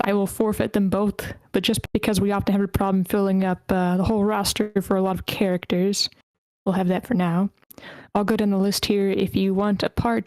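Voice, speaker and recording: A woman with a mid-pitched voice hosts an informal online-style roll call. She sounds encouraging and organized, in casual conversation. The sound is dry, with very little room around her. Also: darkish tone, dry recording. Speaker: host of a script table read.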